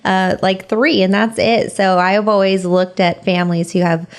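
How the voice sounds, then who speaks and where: A woman's voice: medium pitch, flat delivery, moderate pace, smooth. A woman from California hosting a podcast interview, upbeat and encouraging, with frequent fillers. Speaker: podcast host. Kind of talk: casual interview chat.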